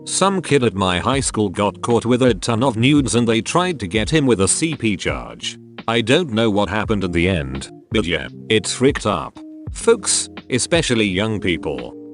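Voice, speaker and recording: A man narrating forum posts aloud as a scripted read-through, with a steady, even pace. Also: fairly low pitch. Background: faint background music.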